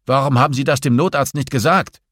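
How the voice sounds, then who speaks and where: A man voicing a forceful hospital doctor in an audiobook, urgent and impatient, with a clipped, interrogating manner. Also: mid-range voice, fast talker, dry recording, clean studio sound, voice pushed up, natural voice. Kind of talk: audiobook dialogue acting.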